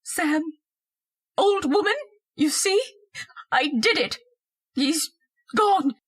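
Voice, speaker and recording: Frightened, desperate wife played by an actress: high voice, swooping intonation, hesitant, pleading, old-time radio horror. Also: female voice, animated, very slow, exaggerated voice. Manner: hesitant, repeating words, pleading. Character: frightened, compliant wife turned desperate murderess.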